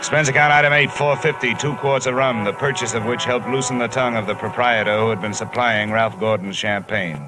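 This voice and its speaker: An actor playing a tough, wisecracking insurance investigator in an old radio detective drama, brusque, clipped and wry. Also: male voice, mid-range voice, fairly even intonation, a little fast, few pauses, over loud music, close to an everyday voice. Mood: wry, tense. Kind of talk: 1950s radio detective acting and narration. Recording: noisy old-time radio recording.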